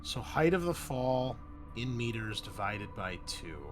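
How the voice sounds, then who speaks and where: A man's voice: mid-range voice, animated, measured pace. A man running a tabletop roleplaying game as game master, casually narrating scenes and explaining rules. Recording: faint music behind the voice. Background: music.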